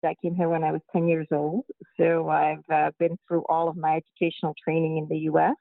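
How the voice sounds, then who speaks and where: A woman from Guyana answering interview questions slowly and deliberately, heard over a tinny phone-like line. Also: low voice, level tone, vocal fry, no echo. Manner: slow, measured and deliberate. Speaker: guest physician-scientist.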